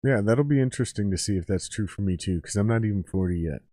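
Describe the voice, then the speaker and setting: A man's voice: on the low side, expressive, quick pace, mellow, dry recording, studio quality. A man in his thirties hosting a podcast, talking intensely and swearing freely. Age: 30s. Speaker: podcast host. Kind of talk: casual podcast chat.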